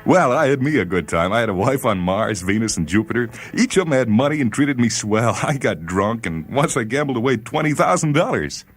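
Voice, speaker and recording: A man's voice: medium pitch, animated, fairly quick, few pauses, soft background music, pitched above the performer's own voice. A man voicing a carefree, boastful spaceman facing death, folksy and a little indignant. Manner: folksy, faltering when challenged.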